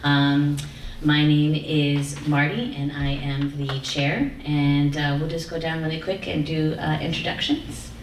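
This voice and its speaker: A woman's voice: deep voice, little pitch movement, unhurried. A woman chairing a public community meeting, speaking hesitantly with many fillers. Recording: echoey room, distant mic, faint noise.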